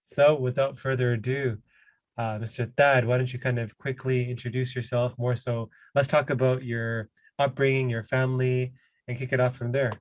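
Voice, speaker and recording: A man hosting a podcast, introducing his guest and asking questions in a relaxed, casual way. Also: mid-range voice, normal speed, dry recording. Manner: relaxed, casual, uses fillers like "you know". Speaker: podcast host. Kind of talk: podcast interview.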